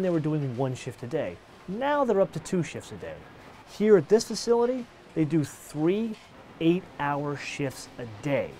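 A middle-aged man hosting a car show, explaining features in a lively, animated way outdoors and behind the wheel. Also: a little high, a little hiss or hum. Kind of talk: car review presentation.

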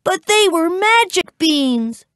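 A woman's voice: extremely high pitch, very animated, takes it slowly, fairly bright tone, dry recording, studio quality, voice pushed up, full character voice. A woman voicing a plucky, earnest little boy in a children's storybook. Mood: upset.

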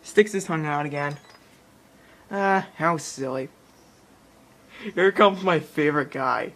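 A man giving casual let's play commentary in an excitable way, often repeating his phrases. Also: a little high, a little slow. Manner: excitable, repeats phrases, mimics game sounds. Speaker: video game player and commentator. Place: indoor room at home, in front of a TV. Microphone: handheld camera or phone mic. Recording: handheld camera, game music in background.

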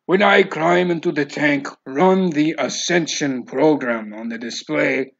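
A man's voice: a little high, a little slow, creaky, highs cut off. A man voicing a grim, resolute, fatalistic man giving commanding instructions in an audiobook story. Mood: calm, instructing. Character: grim, resolute man instructing his own death ritual.

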